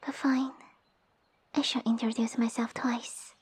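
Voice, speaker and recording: Girl doing a tiny snooty snake princess, high soft mellow voice, super formal and bossy, asmr roleplay. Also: little pitch movement, measured pace, slightly rough. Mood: haughty, scolding. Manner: pompous, formal, commanding speech. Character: haughty, sassy young lamia noblewoman, tiny monster girl. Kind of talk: ASMR roleplay audio drama.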